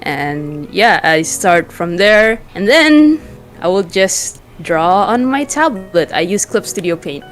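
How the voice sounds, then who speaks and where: A man's voice: high-pitched, big pitch swings, takes it slowly, fairly bright tone. Light-voiced man chatting casually over music. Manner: informal, youthful slang. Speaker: interview guest, webcomic artist. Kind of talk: casual chat. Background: background music.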